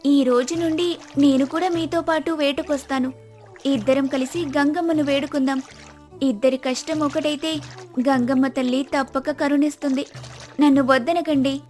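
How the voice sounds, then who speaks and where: A woman voicing a devoted, gentle but determined village wife in a moral story, with an earnest, pleading manner. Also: sits high, fairly even intonation, normal speed, soft background music, lightly acted. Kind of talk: acted moral-story dialogue.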